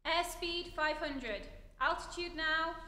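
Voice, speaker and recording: A woman's voice: extremely high pitch, takes it slowly, pushed and bright, full character voice. A woman playing a dutiful spaceship crew member, formally calling out readings in old-time radio drama style. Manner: formal, military call-outs. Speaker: actor in a radio play. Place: theatre stage, live performance. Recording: echoey hall with background noise.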